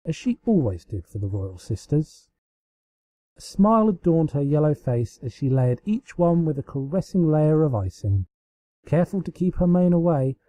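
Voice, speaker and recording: A man narrates a piece of fiction in a very dark, soft tone. His pitch moves in a lively, expressive way as he reads from the text. The sound is close and dry. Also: no echo, rough recording. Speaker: amateur story reader.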